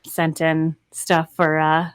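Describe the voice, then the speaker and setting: A woman's voice: deep voice, completely flat delivery, slow, clear, resonant tone, no room sound. A woman in her thirties telling casual anecdotes as a voice actor guest on a remote panel, close on the microphone. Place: indoor home recording space, video call.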